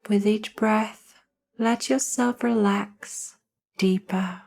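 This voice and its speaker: Slow-paced woman guiding a meditation. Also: medium pitch, steady tone, creaky. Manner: soothing, hushed, with long pauses between short phrases. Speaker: guided meditation narrator. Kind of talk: slow guided meditation narration.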